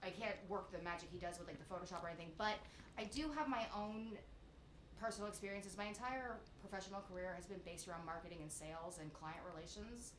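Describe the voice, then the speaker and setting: A woman in her thirties with a high-pitched, youthful-sounding voice, chatting playfully and self-deprecatingly as a shop manager co-hosting a live stream. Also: fairly even intonation, fast, fairly bright tone. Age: 30s. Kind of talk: casual live-stream chat. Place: in a print shop, off camera. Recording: echoey room with faint ambient noise.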